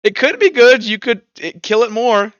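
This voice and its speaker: Young male game master, high clear voice, casual, thinking aloud, dry slightly muffled mic. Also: moderate pace, a bit bright, dry recording. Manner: informal, conversational, thinking aloud. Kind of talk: casual narration of a tabletop roleplaying game.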